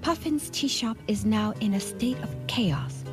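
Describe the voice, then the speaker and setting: A woman's voice: on the high side, a little slow, over music. A woman hosting and narrating a radio drama in a scripted storytelling style. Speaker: host and narrator.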